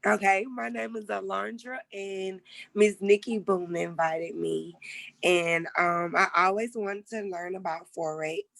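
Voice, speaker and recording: A woman calling in as a guest, her voice raspy from sinus trouble, talking casually and a bit rambling. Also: mid-range voice, steady tone, a little slow, a bit bright, no room sound. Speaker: guest caller.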